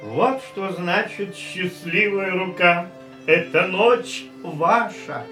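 A chatty casino gentleman. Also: sits high, takes it slowly, full character voice. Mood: anecdotal. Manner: talkative, lively storytelling. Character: chatty older male casino gentleman, reminiscing. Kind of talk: radio play acting. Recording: roomy, distant radio play recording with faint music.

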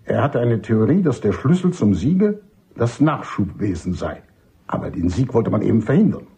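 A man playing an older army major and doctor in a radio drama, husky, emphatic and cynical, courteous but dismissive. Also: mid-range voice, sing-song, normal speed, dark tone, heavily acted. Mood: exasperated. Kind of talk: German radio drama acting.

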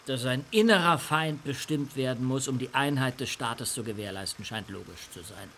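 An actor playing an earnest, proud orchestra conductor in a radio drama, formal and emphatic. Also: male voice, mid-range voice, normal speed, a bit bright, slight background noise, close to an everyday voice. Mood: earnest, objecting. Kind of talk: German radio drama acting.

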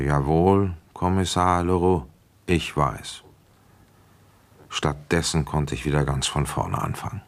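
A man's voice: extremely low pitch, gravelly. A man playing a weary, suspicious police inspector with a probing manner in a German radio play. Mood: weary, narrating. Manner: probing, insistent. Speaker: detective and first-person narrator.

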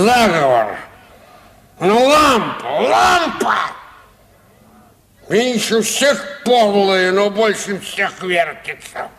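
An actor playing a drunken, blustering, bewildered comic actor in a radio play, slurred and stammering. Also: male voice, high voice, fairly bright tone, full character voice. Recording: faint background noise.

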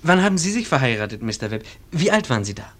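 An actor playing an eager, naive young bridegroom in a radio play, boyish and earnest. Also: male voice, medium pitch, big pitch swings, quick pace, fairly bright tone. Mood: earnest, unsure. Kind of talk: German radio play acting.

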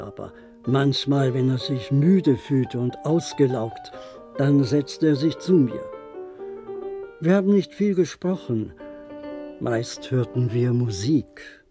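An actor playing a gentle, lonely old male doctor in a German radio play, speaking in a reflective, halting, unhurried way. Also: mid-range voice. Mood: warm, wistful. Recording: music playing clearly behind the voice.

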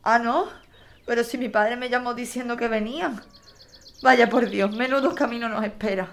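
A woman's voice: fairly high pitch, fairly even intonation, normal speed, gravelly. A woman playing a grateful, chatty mother on the road in a historical audio drama, folksy and colloquial. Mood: worried, hopeful.

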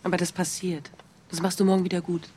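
A woman's voice: lowish voice, level tone, quick pace, mellow, a little hiss or hum, close to an everyday voice. An actress playing a proud, spirited woman of a merchant family in a radio drama, speaking emphatically. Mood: encouraging. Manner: emphatic, exclamatory. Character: proud, spirited merchant's daughter, doting outspoken sister. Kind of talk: German radio drama acting.

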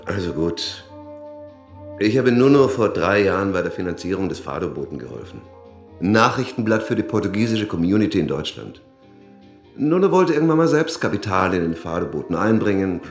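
A wealthy, brazen male suspect in a radio crime drama. His voice sits fairly low and swings with lively, sardonic colloquial delivery. The recording is roomy, with faint music behind it. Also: brisk. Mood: defensive. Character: rich, brazen businessman, male suspect, flippant and defiant. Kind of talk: naturalistic German radio crime drama acting.

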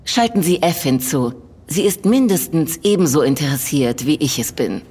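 An actress playing a cold, domineering shareholder in an audio drama, speaking in a clipped, formal manner. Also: female voice, low voice, lively intonation, moderate pace, dark tone, breathy edge. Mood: commanding, cool. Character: cold, commanding female shareholder and conspirator. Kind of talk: German audio drama acting.

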